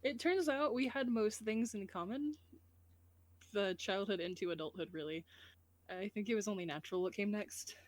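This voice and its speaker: Medium-pitched woman chatting casually. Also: moderate pace. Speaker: guest voice actor.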